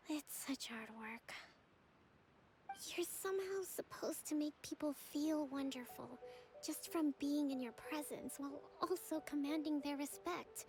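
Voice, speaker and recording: Worn-out gentle priestess: soft, breathy, high with a little fry, faint game music under her. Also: slight background noise. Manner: soft, tired, often breathy near-whisper. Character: young priestess leader, gentle, weary and soft-spoken. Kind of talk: game voice acting.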